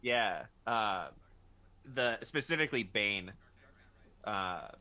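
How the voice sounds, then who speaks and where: A man's voice: lowish voice, animated, takes it slowly, sharp tone. A man co-hosting a podcast, chatting casually in a jokey, slangy way. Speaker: podcast co-host. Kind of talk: casual chat.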